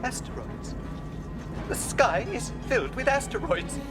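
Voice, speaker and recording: An actor playing a fussy, anxious protocol droid in a radio drama, prim and formal, with fretful stammering. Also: male voice, very high-pitched, big pitch swings, unhurried, loud music behind the voice, heavily acted. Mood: panicked, fretful.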